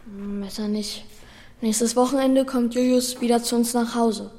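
A thoughtful, somewhat sad child in a radio play. The voice is high and mellow, with hesitant, matter-of-fact delivery. It rings in a long hall reverb. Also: female voice, fairly even intonation, measured pace. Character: Bela, sad thoughtful child with a comatose brother.

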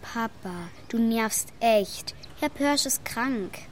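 An eleven-year-old girl in a children's radio drama, with a high voice. She is sulky, impatient and snippy, and birdsong and noise sit clearly behind her. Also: lively intonation, slow pace. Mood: annoyed. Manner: snippy, exasperated. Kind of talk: radio play dialogue for children.

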